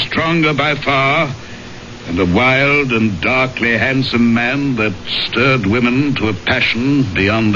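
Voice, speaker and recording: An actor playing a proud, grim baronet in a radio drama, speaking formally and haughtily. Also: male voice, mid-range voice, bright, forceful tone. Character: proud, grim English baronet, powerful and embittered. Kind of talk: 1970s radio drama acting. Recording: muffled vintage radio broadcast, faint noise.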